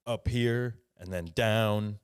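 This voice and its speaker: Low-voiced man hosting a loose podcast. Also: steady tone, takes it slowly, smooth, dry recording, clean studio sound. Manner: loose, rambling, swears casually. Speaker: podcast host. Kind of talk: casual interview.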